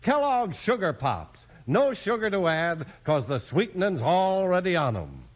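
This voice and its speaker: A man's voice: sits high, sing-song, unhurried. A man with an American accent doing emphatic, polished 1950s radio announcing and scripted narration. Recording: dull vintage 1950s radio recording.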